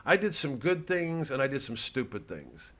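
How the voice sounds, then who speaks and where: A man's voice: medium pitch, big pitch swings, normal speed, a bit bright. An American man, a comedian, talking casually to his fans in a rambling, digressive monologue with some swearing.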